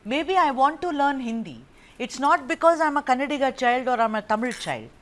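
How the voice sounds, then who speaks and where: A woman's voice: high-pitched, expressive, a little fast. A woman news anchor moderating a TV debate, pressing her guests and talking over them.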